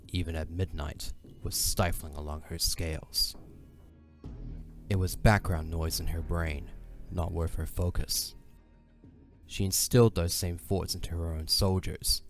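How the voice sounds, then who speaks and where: A man narrating a scripted fantasy story in a dramatic audio drama style. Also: sits low.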